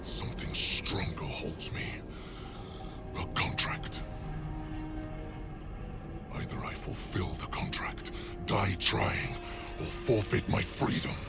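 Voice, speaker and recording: A man's voice: low voice, takes it slowly, pauses often. An actor playing a proud, bitter devil in a video game, theatrical and snarling, savoring his words. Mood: bitter, brooding. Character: menacing male devil bound by a cruel contract, proud and bitter. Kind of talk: video game character acting. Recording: music behind the voice.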